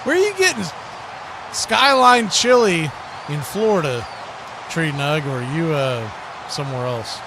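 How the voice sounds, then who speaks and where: A man's voice: on the high side, lively intonation, measured pace, pauses often. A man hosting a sports stream, calling play-by-play and chatting casually between plays. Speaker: radio host calling play-by-play. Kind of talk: sports play-by-play commentary mixed with casual chat. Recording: clear background noise.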